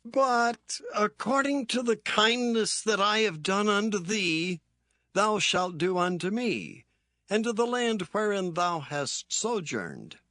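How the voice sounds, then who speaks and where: A man's voice: sits high, lively intonation, takes it slowly, pushed and bright, no room sound, studio quality, heavily acted. A man playing a solemn, insistent king in a dramatised scripture reading.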